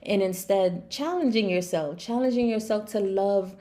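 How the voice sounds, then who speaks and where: A raspy-voiced woman with a slight cold, giving a casual, conversational tarot and astrology reading to viewers, with playful asides. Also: mid-range voice, normal speed, mellow. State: getting over sneezing and coughing. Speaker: online tarot and astrology reader.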